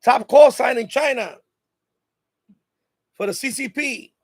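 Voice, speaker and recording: A man with a high-pitched voice hosts a casual livestream. He rambles along informally and circles back over his own phrases. The sound is dry and close, with very little room in it. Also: slow, darkish tone, no echo. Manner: rambling, repeats himself. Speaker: livestream host. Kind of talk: casual live chat with viewers.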